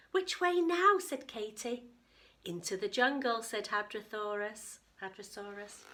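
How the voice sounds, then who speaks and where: A woman teacher giving an expressive storytime read-aloud for young children, with chatty asides to her listeners. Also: mid-range voice.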